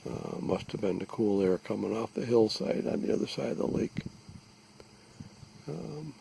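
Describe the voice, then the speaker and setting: A man giving a halting, deliberate, matter-of-fact informal field narration outdoors, recorded on a handheld device. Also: lowish voice, animated, vocal fry, mellow. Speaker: lake resident surveying an algae bloom. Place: outdoors, out on a small lake. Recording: faint outdoor background noise.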